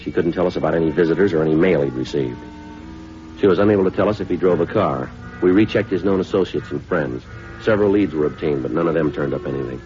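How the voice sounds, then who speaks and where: An actor playing a terse, patient, businesslike police detective, polite and deadpan, in a 1950s radio drama. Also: male voice, rumbling low, quick pace, faint music behind. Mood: matter-of-fact. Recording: dull vintage radio recording.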